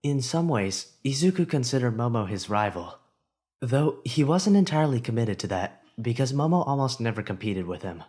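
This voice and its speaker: A man reads a fan audiobook aloud at an ordinary mid pitch. His delivery is expressive, rising with the hero's inner thoughts. The reading is steady story narration. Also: moderate pace.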